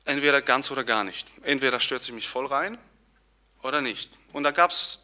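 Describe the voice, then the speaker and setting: A man's voice: medium pitch, normal speed, bright, forceful tone. Earnest man. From Poland. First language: Polish. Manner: earnest, searching, with fillers and restarts. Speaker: speaker giving a personal testimony. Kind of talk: personal testimony talk.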